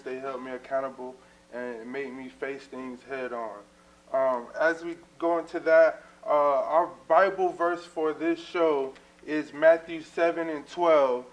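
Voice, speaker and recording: A man's voice: mid-range voice, slight background noise, poor audio. A man, hosting a casual church talk show as a minister, earnest and full of fillers. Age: 20s.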